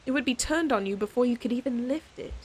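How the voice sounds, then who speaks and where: A woman's voice: fairly high pitch, fast talker, slight background noise, natural voice. A woman playing a proud, stern lady knight in an audio roleplay, scolding her companion in an exasperated but secretly fond way. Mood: startled, annoyed. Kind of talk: audio roleplay acting, speaking to the listener.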